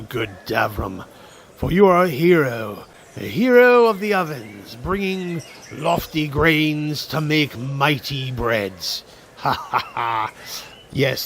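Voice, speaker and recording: A man's voice: medium pitch, creaky, fairly bright tone, slight background noise, full character voice. Man playing a flamboyant, grandiose goblin innkeeper. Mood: jubilant. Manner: florid, theatrical, formal courtesy. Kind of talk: fantasy radio drama acting.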